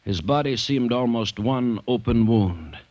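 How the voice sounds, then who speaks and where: An actor playing a grave companion who narrates in solemn, dramatic old American radio drama style. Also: male voice, medium pitch, animated, measured pace, darkish tone, natural voice. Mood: urgent. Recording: dull old radio recording, music behind.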